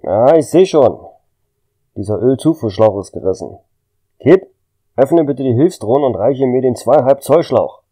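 A man's voice: mid-range voice, expressive, measured pace, warm tone. A man playing a wry, easygoing space station worker in a German radio play, with a casual, teasing manner. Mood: focused. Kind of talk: amateur radio drama acting. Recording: faint noise and hum.

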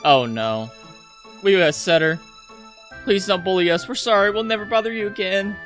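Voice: mid-range voice, normal speed, a bit bright, soft background music, pitched above the performer's own voice, lightly acted. A game streamer voicing a timid, frightened schoolgirl in a visual novel. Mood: frightened, pleading.

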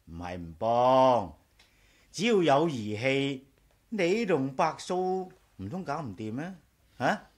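A man's voice: mid-range voice, big pitch swings, slow. A man with a Hong Kong Cantonese accent playing an easygoing, boastful engineer friend in a 1980s radio drama. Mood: breezy, boastful.

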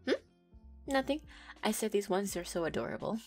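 A woman's voice: medium pitch, big pitch swings, brisk, creaky, breathy edge, soft background music, exaggerated voice. A young woman voicing a playful, affectionate neko girlfriend in an audio roleplay, giggly and teasing as she talks.

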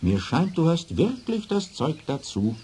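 A man's voice: fairly high pitch, very animated, a little slow, warm tone, slight background noise, voice pushed up. A man voicing a wise, approving talking raven in a fairy tale.

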